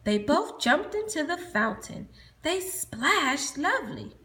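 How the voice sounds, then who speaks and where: A woman's voice: high voice, sing-song, slow pace, fairly bright tone. A woman teacher reading a children's storybook aloud as its narrator.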